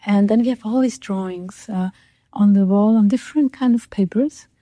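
A woman's voice: mid-range voice, moderate pace, warm tone, dry recording. A woman who is not a native English speaker, talking reflectively about art and searching for words as they go. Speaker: artist in conversation.

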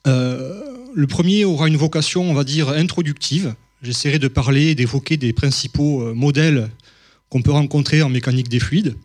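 A man's voice: on the high side, normal speed, darkish tone. A man from southern France giving a public mathematics lecture, hesitant, with many fillers and restarts.

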